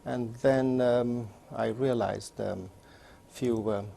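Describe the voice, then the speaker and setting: Man, 60s, from Turkey; low gravelly voice, measured interview answers, faint room hum. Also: fairly even intonation, dark tone. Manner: measured, recounting career step by step. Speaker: interviewee, neurologist. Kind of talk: oral history interview.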